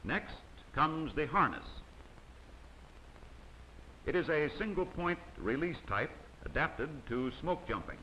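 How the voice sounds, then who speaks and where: Man for a formal instructional film voice-over, bright, sharp tone, faint hiss underneath. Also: fairly high pitch, expressive, slow, pauses often, poor audio. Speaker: narrator of a training film. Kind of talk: scripted documentary narration. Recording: faint hiss of old 16mm optical soundtrack.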